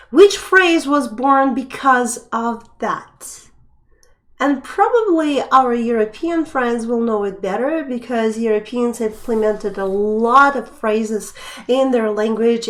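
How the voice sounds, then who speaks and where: A woman's voice: a little high, fairly bright tone. A young woman hosting a live stream quiz, chatting casually as she reads out questions and viewer names. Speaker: live stream trivia host.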